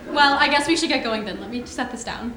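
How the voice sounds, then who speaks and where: A woman's voice: on the high side, fast, lightly acted. A woman playing a wry, guarded geneticist in a sci-fi stage reading. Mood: hesitant, amused. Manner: dry, skeptical, questioning. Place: theatre stage. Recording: roomy theatre recording with faint noise.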